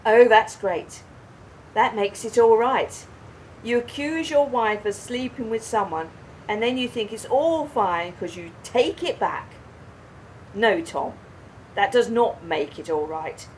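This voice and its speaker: An indignant wife, animated and high. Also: female voice, slow, slight background noise, poor audio. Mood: furious. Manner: accusing, confrontational, emphatic. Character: angry, indignant young wife in a roadside quarrel. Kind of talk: radio play acting.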